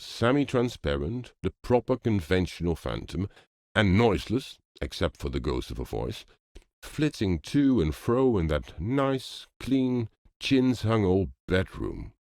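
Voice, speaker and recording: A man narrating a classic ghost story as an audiobook, in an expressive storytelling manner. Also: low voice, dry recording, studio quality.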